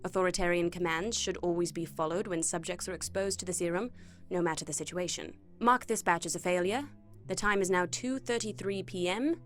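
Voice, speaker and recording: A cool female researcher in an audio drama, played by a woman. Her voice sits a little low for a woman. She is clinical and matter-of-fact, all business about her work. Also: a little fast, faint music behind, lightly acted. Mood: clinical, detached. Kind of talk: binaural audio drama acting.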